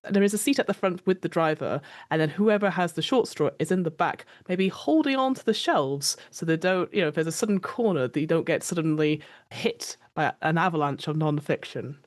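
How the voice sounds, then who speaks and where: A woman's voice: low voice, expressive, a little fast. A woman improvising details for a tabletop roleplaying game in a casual, chatty, rambling way. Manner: chatty, rambling, self-questioning. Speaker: player in a roleplaying podcast.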